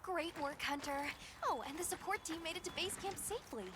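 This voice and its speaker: High-voiced friendly expedition companion. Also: female voice, brisk, noisy background. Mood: friendly, polite. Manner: polite, encouraging. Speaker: game character. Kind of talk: video game voice acting. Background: loud game music.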